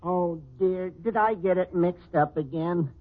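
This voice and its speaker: A man's voice: high-pitched, measured pace, phone-quality sound. An American actor playing a giddy, fussy postman with flowery, mock-poetic speech, in old radio comedy style on a scratchy recording. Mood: chirpy.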